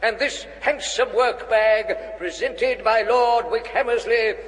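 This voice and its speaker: An elderly, long-winded English vicar in a reverberant hall. His high, upper-class voice rolls out formal phrases with ponderous, solemn dignity, in the manner of British radio comedy. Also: takes it slowly. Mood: grave, solemn.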